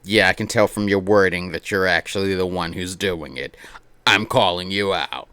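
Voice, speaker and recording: A man livestreaming as he reads a visual novel aloud, mixing theatrical narration with playful, excitable banter in a close, dry-sounding setup. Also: low voice, normal speed, clear, resonant tone, no room sound.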